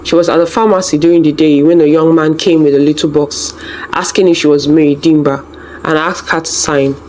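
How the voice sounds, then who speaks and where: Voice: mid-range voice, steady tone, fairly quick, darkish tone, slight background noise. A storyteller reading a scripted folktale narration.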